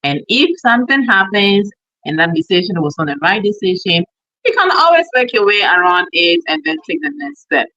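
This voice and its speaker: A woman from Ghana speaks earnestly on a video call, working through her ideas with everyday examples. Her voice sits low yet sounds bright and forceful. The audio is dry and a little dull. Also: sing-song, moderate pace, dry recording, faint music behind. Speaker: host. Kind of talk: casual online business chat.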